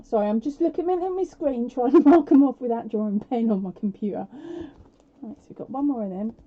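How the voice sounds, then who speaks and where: Chatty, croaky-voiced woman streaming a quiz. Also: a little high, a little fast, mellow. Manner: chatty and self-deprecating, with frequent fillers and laughs. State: slightly croaky voice. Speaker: podcast host running a live prize quiz. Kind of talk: casual live-stream chat. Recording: dull lo-fi home stream audio.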